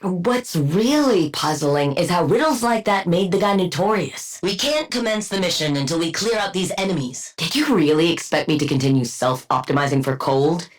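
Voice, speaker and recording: A woman's voice: fairly low pitch, lively intonation, normal speed, few pauses, lightly acted. An actress playing a cool, sardonic female scientist supervillain in a video game, with precise diction and a clinical, condescending manner. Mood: sardonic, commanding. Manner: clinical, condescending, dryly witty. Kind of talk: video game combat barks.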